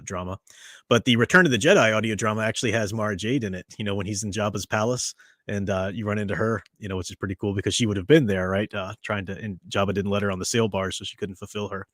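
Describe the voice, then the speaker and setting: A man's voice: lowish voice, no room sound, clean studio sound. A tired man hosting a casual livestream chat from home. He talks in a rambling, chatty way, with lots of fillers and restarts. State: tired after a long day. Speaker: livestream host and reviewer.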